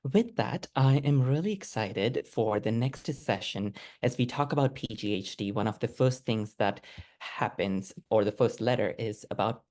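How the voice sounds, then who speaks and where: A man's voice: mid-range voice, expressive, moderate pace, a little mellow. A man hosting a conference, thanking speakers and introducing sessions. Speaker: event host introducing sessions.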